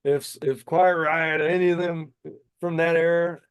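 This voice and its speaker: Fifties guy chatting eagerly, kinda high voice, talks in loops. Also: level tone, unhurried, clear, resonant tone, dry recording, clean studio sound. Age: 50s. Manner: enthusiastic, rambling with restarts. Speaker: podcast guest, singer. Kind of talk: casual chat.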